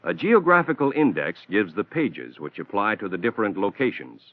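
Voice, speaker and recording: Male narrator for an instructional film, measured and lively in pitch, dry, phone-thin sound. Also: no room sound. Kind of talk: scripted technical narration. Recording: tinny narrow-band old film soundtrack.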